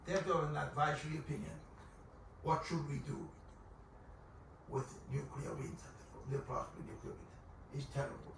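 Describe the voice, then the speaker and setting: A man with a fairly high voice talks with animated, jokey energy and laughs easily. He is heard from far off in a very echoey conference hall with clear room noise. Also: brisk, pauses often. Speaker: panel speaker.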